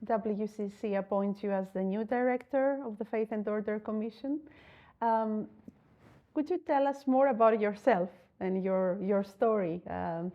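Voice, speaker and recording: A woman interviewer asking questions, hesitant and filling pauses with "um". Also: mid-range voice, highs cut off. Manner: hesitant, with fillers.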